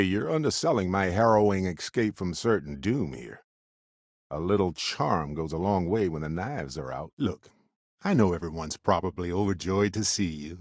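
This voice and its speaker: A man's voice: low-pitched, no echo, studio quality. A male actor voicing a charming, good-humored space explorer in a video game, with a glib, playful, conversational delivery and a theatrical streak. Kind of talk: video game voice acting, companion dialogue.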